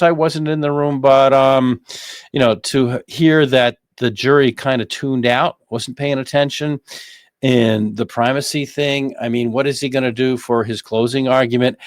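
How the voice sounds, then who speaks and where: An American man hosting unscripted legal commentary, speaking haltingly and repeating phrases for emphasis. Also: medium pitch, no echo, studio quality. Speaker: host, lawyer commentating on a trial.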